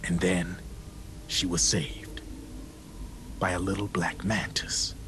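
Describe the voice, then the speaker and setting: An actor playing a smooth-talking arms dealer in a game, telling a grim story in a deliberate, theatrical way. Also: male voice, deep voice, level tone, background noise. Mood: grim, ominous. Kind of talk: dramatic storytelling over a codec radio call.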